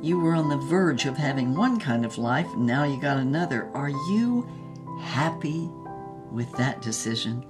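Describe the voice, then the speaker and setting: A woman's voice: extremely low pitch, lively intonation, unhurried, over music. An actress playing a defiant, tender older woman artist, telling stories in an emphatic, theatrical way for an audio drama. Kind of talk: audio drama acting, storytelling.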